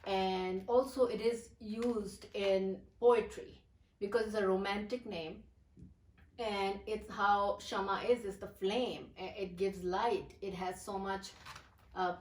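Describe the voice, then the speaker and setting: A middle-aged woman from Pakistan, her Urdu, who talks as an interview guest with many pauses and fillers. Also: medium pitch, fairly even intonation, echoey room, far from the mic, poor audio. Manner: hesitant, many fillers and restarts. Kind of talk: casual interview.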